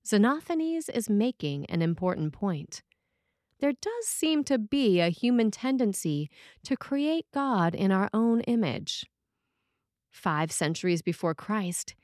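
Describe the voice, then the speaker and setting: A woman reads a scripted sermon as a priest, phrasing it in a measured, deliberate way with pauses. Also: mid-range voice, darkish tone, dry recording, studio quality. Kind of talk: scripted sermon, read aloud.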